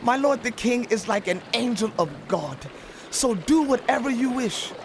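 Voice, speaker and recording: An actor playing a lame, wronged and submissive royal grandson, pleading deferentially in a dramatized audio Bible. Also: male voice, extremely high pitch, animated, moderate pace, slight background noise.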